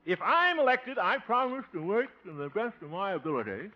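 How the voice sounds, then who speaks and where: An actor playing a good-natured, flustered homemaker host who is running for mayor, in a 1950s radio comedy. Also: male voice, sits high, very animated, a little fast, slight background noise. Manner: chuckling, flustered, rehearsing aloud. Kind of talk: 1950s radio sitcom acting. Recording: dull old 1950s radio broadcast.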